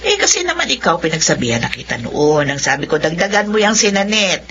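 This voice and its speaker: A woman's voice: fairly low pitch, sing-song, a little fast. A woman playing a teasing, outspoken middle-aged neighbour in a Filipino radio drama. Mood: teasing, nagging. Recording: muffled radio broadcast, faint noise.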